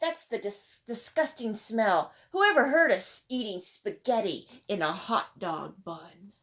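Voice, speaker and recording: A mocking schoolboy bully from a storybook, played by a woman reader. The taunts are exclaimed loudly, with a lively pitch that jumps around. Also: slow. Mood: taunting. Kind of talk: children's storybook character voice.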